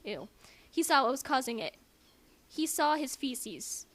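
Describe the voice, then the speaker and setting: Woman, high voice, squeamish amateur reader, casual delivery with running commentary. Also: sing-song, unhurried, very noisy. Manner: squeamish, self-interrupting, grossed out. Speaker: amateur reader in a comedic dramatic reading.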